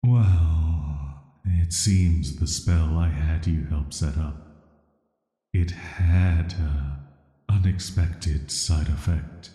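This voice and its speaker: Man voicing very deep, slow immortal villain. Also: full character voice. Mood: wounded, gentle. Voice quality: very deep, soft, atmospheric. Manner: soft, flat, measured, hesitant pauses. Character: ancient banished male villain, immortal cursed being, calm and flirty. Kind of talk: slow scripted roleplay audio drama monologue. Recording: echoey, long cavernous reverb.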